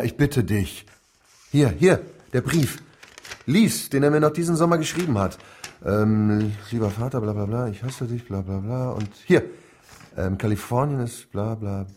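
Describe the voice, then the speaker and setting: An actor in a radio drama playing a cynical middle-aged industrialist father, husky, offhand and dismissive. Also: lowish voice, darkish tone, a little hiss or hum, natural voice.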